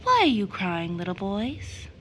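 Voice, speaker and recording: A bright, pleasant little old lady. She is kindly but brisk and slightly sharp, like a magical visitor in a storybook. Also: mid-range voice, very animated, slight background noise. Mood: gentle, kindly.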